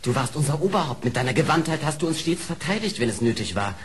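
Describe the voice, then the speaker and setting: An actor playing an anxious, loyal badger nephew in an old audio play, pleading theatrically. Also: male voice, a little high, big pitch swings, quick pace, a little hiss or hum. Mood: lamenting, worried. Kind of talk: German audio play acting.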